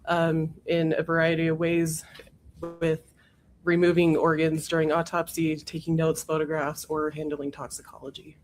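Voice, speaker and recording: A woman testifying as a witness in a courtroom, hoarse from a cold, answering in a matter-of-fact way. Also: low-pitched, completely flat delivery, moderate pace, slight background noise.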